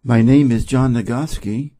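A man from Wisconsin with an American Midwest accent, an English teacher reading practice dialogues slowly and playing both parts. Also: dark tone. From Wisconsin, USA. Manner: reads both dialogue parts, raising his pitch for speaker A.

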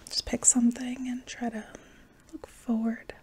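A young adult woman in a whispered ASMR medical roleplay, breathy and soft, giving reassuring step-by-step instructions up close. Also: fairly high pitch, level tone, a little slow, slight background noise. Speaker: ASMR roleplayer playing an anesthesiologist.

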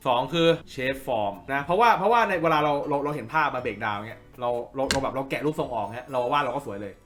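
A man's voice: medium pitch, lively intonation, quick pace, a bit bright, faint music behind. A man giving an informal drawing tutorial as the teacher, repeating phrases for emphasis and often checking in with listeners. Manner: checks in with listeners with frequent tag questions.

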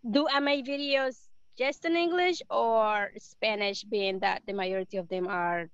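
A woman's voice: medium pitch, moderate pace, clear, resonant tone, no echo. Giggly woman on a dry lo-fi phone. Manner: giggly, casual. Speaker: real estate agent. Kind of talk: asking a question on a call. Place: outdoors. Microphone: phone at arm's length.

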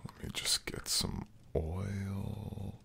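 Very deep, gravelly, breathy boyfriend played by a man. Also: fairly even intonation, in a whisper, heavily acted. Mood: soothing, sensual. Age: young adult. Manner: breathy, murmured, slow and soothing. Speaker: audio roleplay voice actor. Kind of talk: intimate boyfriend ASMR roleplay.

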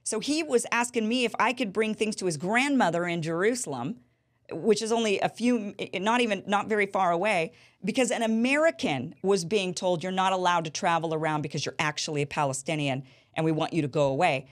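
A woman in her thirties hosting a political interview show, telling stories with animated gestures in a small studio. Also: medium pitch, a little fast. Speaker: host. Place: small studio with a bright backdrop. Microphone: studio mic on a boom.

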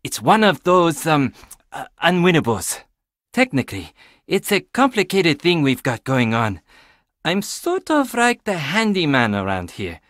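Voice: mid-range voice, very animated, measured pace, fairly bright tone, dry recording, clean studio sound. An actor playing a quirky, chatty young handyman who loves puzzles in a video game, enthusiastic with sardonic, casual asides. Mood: casual, curious. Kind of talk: video game character dialogue.

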